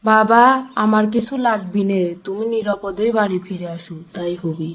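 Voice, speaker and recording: A woman voicing a gentle, selfless older village mother in a cartoon, with a Bangladeshi accent. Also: mid-range voice, fairly even intonation, normal speed, breathy edge, close to an everyday voice. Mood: loving. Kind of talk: cartoon dialogue.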